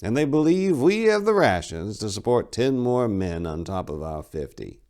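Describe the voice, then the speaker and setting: An aged abbot of a fantasy monastery acted by a male audiobook reader questions with stern authority. His pitch sits raised and moves in lively arcs. He is measured yet openly suspicious of his own flock. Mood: questioning, puzzled. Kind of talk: dramatic fantasy audiobook reading.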